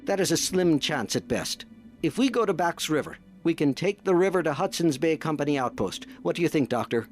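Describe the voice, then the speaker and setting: A man's voice: fairly high pitch, expressive, a little fast, soft background music, natural voice. A man playing a stern, dutiful nineteenth-century naval captain in an amateur audio drama. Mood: deliberate. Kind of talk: fan audio drama acting.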